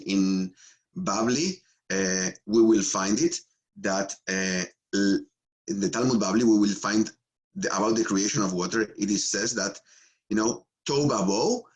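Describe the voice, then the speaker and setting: Low-voiced rabbi with an Argentine accent. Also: male voice, poor audio. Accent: Argentine Spanish. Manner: joking, halting, many fillers and restarts. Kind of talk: Torah teaching talk.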